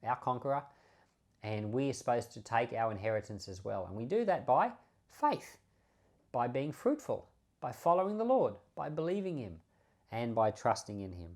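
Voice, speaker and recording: A man's voice: medium pitch, very animated, moderate pace, darkish tone. A man speaking as a pastor, explaining scripture in an informal, conversational way and stressing key words by repeating them. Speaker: pastor and podcast host. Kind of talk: informal Bible teaching commentary.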